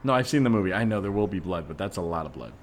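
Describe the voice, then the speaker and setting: Guy doing an uneasy everyman in improv comedy, deeper voice, deadpan and hesitant, trying to be reasonable, bit of noise behind. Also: rapid-fire. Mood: skeptical. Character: skeptical, uneasy ordinary neighbor, patiently reasoning. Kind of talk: improvised comedy podcast scene.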